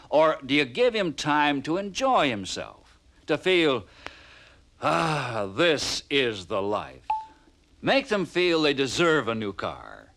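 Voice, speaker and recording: A man's voice: mid-range voice, fairly bright tone. An American man narrating in 1950s training film style, persuasive and punchy, full of rhetorical questions. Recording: vintage 1950s film soundtrack.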